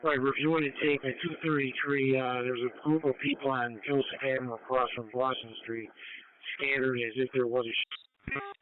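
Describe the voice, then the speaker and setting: A man's voice: mid-range voice, fairly even intonation, moderate pace, phone-quality sound. A man speaking as a police officer in radio traffic, heard through a two-way radio on a scanner feed.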